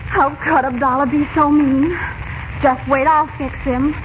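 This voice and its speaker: An actress playing a plucky, impulsive American girl in a 1940s-style radio adventure serial, earnest and excitable, with an American accent. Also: high-pitched, unhurried. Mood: indignant, urgent. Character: plucky, impulsive American girl held captive, naive and indignant. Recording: muffled old-radio sound with hiss.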